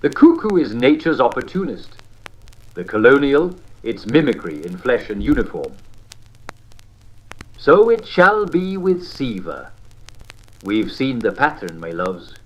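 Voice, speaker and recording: A man playing an avuncular old-time radio propaganda host, genteel and smugly patriotic, with theatrical phrasing and deliberate pauses. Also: mid-range voice, sing-song, rough recording. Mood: smug, soothing. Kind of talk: vintage radio propaganda narration.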